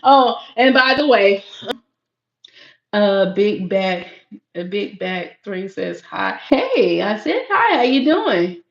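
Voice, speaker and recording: Lady live streaming from her craft room, loud carrying voice, roomy echo, kinda muffled lo-fi sound. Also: medium pitch, sing-song, slow pace, creaky. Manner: projecting voice that carries; chatty, laughs often, reacts to viewer comments. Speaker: livestream host reading chat. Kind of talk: casual chat on a live stream. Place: small home room used for crafting and recording, sparse and echoey. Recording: echoey room, dull lo-fi sound.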